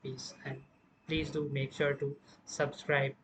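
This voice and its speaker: A man presenting an informal music production software tutorial, explaining step by step in a halting way. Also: medium pitch, level tone, background noise.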